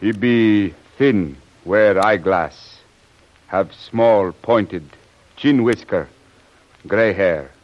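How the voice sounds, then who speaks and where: An actor playing a loyal, solemn guide who speaks halting broken English in old radio drama style. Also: male voice, fairly low pitch. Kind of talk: 1940s radio acting. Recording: dull old radio recording.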